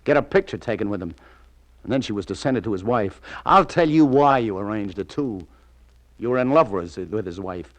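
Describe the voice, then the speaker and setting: A low-pitched American police detective from a 1940s radio crime drama, played by a male actor. He is shrewd and casual, with clipped, probing, sardonic delivery. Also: lively intonation, fairly quick, lightly acted. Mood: accusing.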